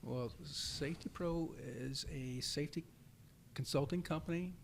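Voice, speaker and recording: A man being interviewed in his office about his safety consulting company, speaking calmly and evenly. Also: on the high side, vocal fry, a little hiss or hum. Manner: calm, measured. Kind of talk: interview answers.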